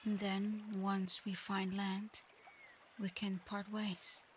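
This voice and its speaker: A woman voicing a proud but easily flustered dragon girl in human form for an ASMR roleplay, speaking formally with halting stammers. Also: mid-range voice, level tone, slight background noise, lightly acted. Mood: softened, earnest. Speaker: audio roleplay performer.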